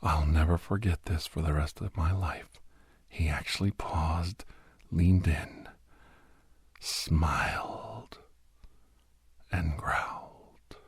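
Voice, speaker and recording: A man playing a grim doctor recounting a bloody werewolf rescue in a hushed, breathy, halting ASMR storytelling style. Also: rumbling low, fairly even intonation, dry recording, full character voice. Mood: intense, hushed. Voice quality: breathy, husky near-whisper. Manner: hushed, halting, dramatic delivery. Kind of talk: whispered ASMR horror storytelling.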